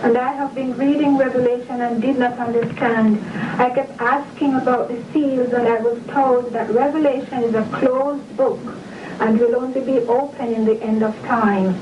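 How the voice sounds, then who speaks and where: A woman's voice: fairly high pitch, fairly even intonation. Woman, earnest, far and echoey. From Jamaica. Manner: earnest, measured, convinced. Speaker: interviewee, church member. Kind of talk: earnest testimony on video. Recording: dull, echoey room from far off, noisy.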